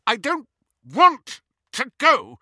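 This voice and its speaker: A man voicing a spiteful, jealous girl who sneers at her sister in a shrill voice. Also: sing-song, slow pace, bright, forceful tone, dry recording, clean studio sound, full character voice. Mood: scornful.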